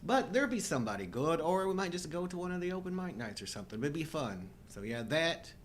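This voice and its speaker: Animated middle-aged man, noisy recording. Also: on the high side, quick pace. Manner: playful, wry joking. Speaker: co-host of a live show. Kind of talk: casual banter.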